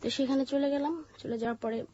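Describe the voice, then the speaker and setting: Bangladeshi female interview guest, high-pitched husky voice, halting personal narration, dull lo-fi sound. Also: fairly even intonation, mellow. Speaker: interview guest telling her life story.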